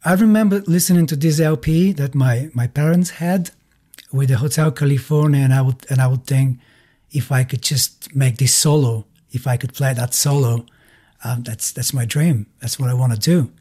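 Mellow-voiced middle-aged Bulgarian man. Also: mid-range voice. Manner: halting, searching for words in non-native English. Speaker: musician being interviewed. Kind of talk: casual interview, personal storytelling.

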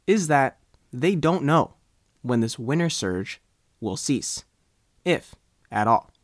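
A man's voice: medium pitch, no room sound, studio quality. An American man reading a scripted news article aloud as a reporter.

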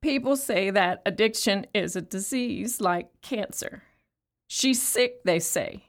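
An actress playing a numb, bereaved, incarcerated mother in an audio play monologue, speaking plainly and haltingly. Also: mid-range voice. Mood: bitter.

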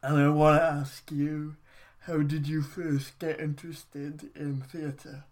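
Woman, podcast host; deep, unhurried delivery; short gentle prompts. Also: little pitch movement. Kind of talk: interview questions.

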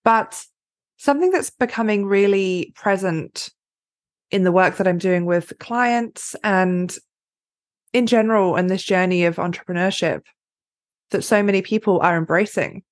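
A woman hosting her own podcast, a life coach giving a chatty, upbeat solo pep talk with a slightly husky, raspy voice. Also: medium pitch, unhurried, dry recording, clean studio sound.

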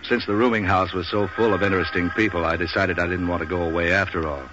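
Actor as a deep, hard-boiled waterfront tough guy. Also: quick pace, lightly acted. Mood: cynical, world-weary. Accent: American. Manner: deadpan, hard-boiled, sardonic. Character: cynical, wisecracking waterfront tough guy for hire. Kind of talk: 1940s radio noir narration and dialogue. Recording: noisy, muffled 1940s radio recording.

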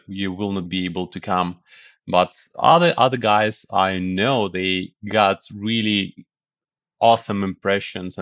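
A man from Ukraine with a fairly low voice, talking in a halting way full of restarts. The sound is dry and dull, like a lo-fi recording. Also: a bit bright, dry recording. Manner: halting, many restarts and fillers. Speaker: guest. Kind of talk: podcast interview.

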